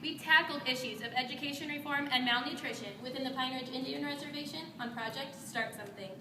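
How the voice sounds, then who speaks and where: A young woman from Nebraska delivers her memorized lines quickly in a high voice. She is recorded from afar in an echoing hall. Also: far from the mic, noisy background. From Nebraska, USA. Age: young adult. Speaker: student presenter. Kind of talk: rehearsed team presentation.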